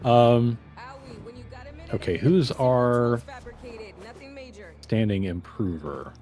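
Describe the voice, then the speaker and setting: A man chatting casually with friends while playing a co-op video game, wry and relaxed, reading menu items aloud. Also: mid-range voice, very slow. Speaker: co-op game player. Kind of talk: casual gaming chat. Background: faint game music.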